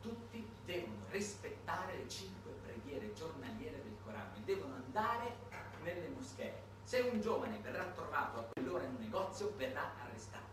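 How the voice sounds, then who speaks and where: A man narrating a solo stage monologue in a theatrical, measured way, with dramatic pauses. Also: on the high side, sing-song. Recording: echoey noisy hall, distant microphone.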